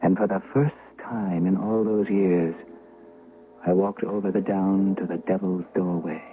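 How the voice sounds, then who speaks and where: An actor playing a conscience-stricken confidant of a duke in a period radio drama, speaking in a formal, theatrical way. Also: male voice, low-pitched, fairly even intonation, unhurried. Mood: haunted narration. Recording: noisy, tinny old radio broadcast recording.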